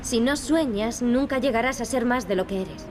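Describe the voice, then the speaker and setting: Earnest young princess played by a woman, highish voice. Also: normal speed, over music, lightly acted. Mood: solemn, earnest. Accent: Castilian Spanish. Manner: earnest, serious. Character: earnest, determined young princess, solemn and idealistic. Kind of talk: video game acting, European Spanish dub.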